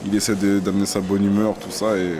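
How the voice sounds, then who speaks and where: A man's voice: fairly low pitch, level tone, fast, noisy background. A man, a football teammate, chatting casually about a colleague in an informal interview. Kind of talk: casual chat.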